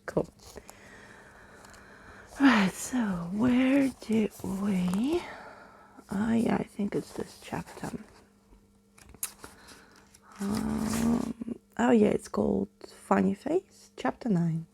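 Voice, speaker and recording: A woman reading a storybook and chatting softly as an ASMR host, in a hushed, breathy, soothing whisper close to the microphone. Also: mid-range voice, drawn-out words, long pauses, slight background noise.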